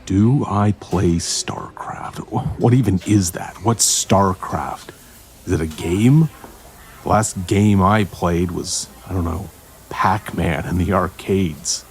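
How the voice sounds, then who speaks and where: An actor playing a grim, protective male investigator who talks tersely and deliberately in an audio drama. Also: low-pitched. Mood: awkward, gentle.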